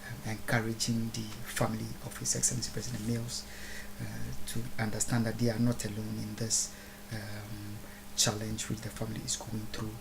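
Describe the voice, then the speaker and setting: A man from Ghana with a Ghanaian accent giving a solemn, formal, oratorical address, halting with long pauses and deliberate repeated phrases. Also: fairly low pitch, monotone, vocal fry, background noise. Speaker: speaker recording a video address. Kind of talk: solemn public address, unscripted.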